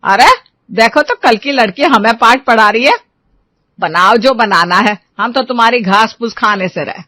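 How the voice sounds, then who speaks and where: A woman's voice: a little high, normal speed, bright, forceful tone, no room sound. A woman voicing an older mother-in-law who is stubborn and scornful, with a whining, grumbling delivery in a story.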